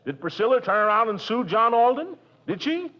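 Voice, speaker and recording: An actor playing a blustering, pompous middle-aged bachelor uncle in a 1940s radio comedy, with a booming laugh. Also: male voice, big pitch swings, fairly quick. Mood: indignant. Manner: blustering, booming laugh, swings from gloomy to jubilant. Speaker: lead actor in a radio sitcom. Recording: dull old radio broadcast.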